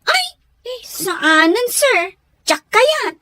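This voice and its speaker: A woman's voice: extremely high pitch, very animated, drawn-out words, sharp tone, full character voice. A woman playing a shy, respectful young housemaid in an Ilocano radio drama. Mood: timid, hesitant.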